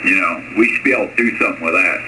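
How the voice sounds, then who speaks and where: A man with an American accent talks casually over amateur radio. His voice is fairly low, and heavy noise runs through the whole transmission. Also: racing. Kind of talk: casual on-air radio chat. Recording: very noisy ham radio transmission.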